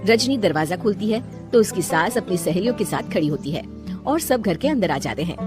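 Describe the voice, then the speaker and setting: A woman's voice: on the low side, expressive, a little fast, over music. A woman narrating a scripted cartoon story as a storyteller.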